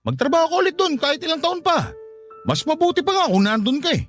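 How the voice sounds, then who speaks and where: A man's voice: extremely high pitch, big pitch swings, fast, fairly bright tone, full character voice. A man playing a callous, scornful middle-aged husband in a radio drama, dismissive and impatient, with a Filipino accent. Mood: impatient, blaming. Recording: faint music bed behind voice.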